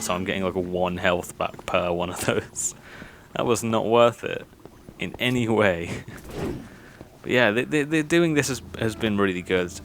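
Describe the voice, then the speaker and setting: A man's voice: on the low side, a bit bright, slight background noise. A young man from Birmingham with a mild Birmingham accent, chatting self-consciously over gameplay with nervous laughs and many fillers. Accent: mild Birmingham English. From Birmingham, England. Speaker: gaming YouTuber. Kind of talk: casual gameplay commentary.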